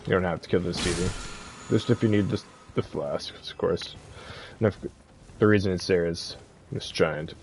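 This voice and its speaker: A man's voice: sits low, moderate pace, darkish tone, a little hiss or hum, low-quality recording. A man giving a casual, laid-back, rambling walkthrough of a video game as a streamer.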